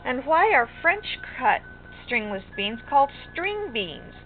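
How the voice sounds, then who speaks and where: An older woman voicing a picky, curious little girl from a storybook, in an earnest, drawn-out sing-song way. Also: high voice, very animated, voice pushed up, exaggerated voice. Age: older, grandmother. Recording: muffled lo-fi home recording, music behind.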